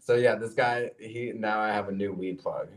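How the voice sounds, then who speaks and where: A man's voice: fairly low pitch, fairly even intonation, moderate pace. A young man from Ukraine with an American accent, telling stories loosely with lots of "like" on a casual podcast. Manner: storytelling with lots of "like" and quoted dialogue. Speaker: podcast co-host. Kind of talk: casual chat.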